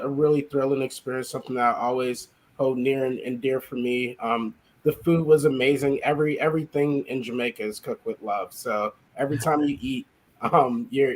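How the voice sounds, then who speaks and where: A man from Chicago speaks as an interview guest, pausing often and restarting his sentences. His voice sits at an ordinary mid pitch. He sounds thoughtful and unhurried. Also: steady tone, poor audio. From Chicago, USA. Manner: hesitant, many restarts and ums. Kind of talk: casual interview reflection.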